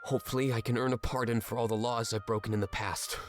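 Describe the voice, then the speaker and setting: A man's voice: mid-range voice, fairly even intonation, fast talker, soft background music, lightly acted. A man playing a reformed swindler in a fantasy drama, earnest, apologetic, remorseful and devoted to his monarch. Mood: resigned, humble. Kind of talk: fantasy audio drama acting.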